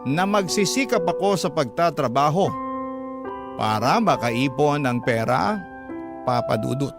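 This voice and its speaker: A man's voice: on the high side, animated, measured pace, gravelly. An actor playing an earnest young man who narrates his life story for a Filipino radio drama, sincere and confiding. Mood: earnest, reflective. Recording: guitar music behind the voice.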